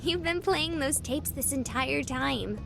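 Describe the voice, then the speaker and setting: Very high-voiced, bubbly ditzy girl. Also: animated, gravelly, over music, full character voice. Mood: friendly, curious. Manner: bubbly, wide-eyed, drawn-out delivery. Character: cheerful, ditzy young woman, bubbly and trusting. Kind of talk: visual novel voice acting.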